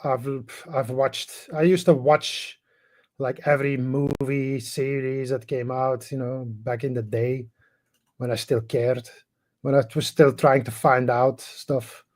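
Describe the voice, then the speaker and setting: A middle-aged man from Belgium, Dutch-speaking by birth, who talks English with a Flemish accent as a caller, halting and restarting often in casual chat. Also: medium pitch, flat delivery. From Belgium, Dutch-speaking part. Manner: halting, hesitant, many restarts and fillers.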